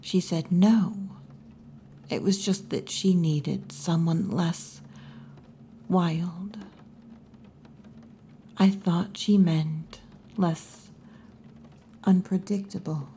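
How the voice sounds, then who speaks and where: Woman as a slow, whispery tomboy wolf girl. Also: fairly low pitch, fairly even intonation, slight background noise. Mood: wistful. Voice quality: soft, breathy, often near whisper. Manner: slow, unhurried, wry and teasing. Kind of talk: ASMR roleplay, soft whispery delivery.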